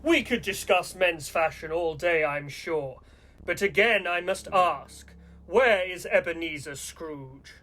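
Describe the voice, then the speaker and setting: Man acting gentlemanly villain Santa, radio play, high-pitched, bright hard edge, polite, threatening. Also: takes it slowly, soft background music, exaggerated voice. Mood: genteel menace. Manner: courteous, formal, threatening.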